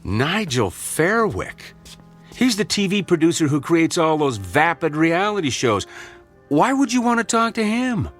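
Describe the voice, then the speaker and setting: Brief: skeptical probing doctor, played by a man, expressive, dry sarcasm. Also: fairly high pitch, measured pace, soft background music, full character voice. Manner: blunt, questioning, sardonic. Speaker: fellow doctor. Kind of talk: old-time radio drama acting.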